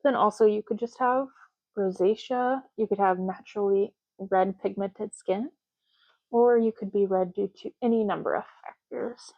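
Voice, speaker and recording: A soft-spoken woman doing an ASMR roleplay as a clerk, talking casually and matter-of-factly in unhurried short phrases with pauses. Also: medium pitch, fairly even intonation, highs cut off. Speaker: ASMR roleplay host, playing an intake clerk making ID cards.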